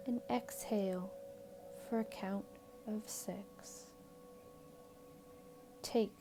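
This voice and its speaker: A woman leading a guided meditation in a calm, soothing, measured way, leaving long pauses between short phrases. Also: medium pitch, a little hiss or hum. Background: background music.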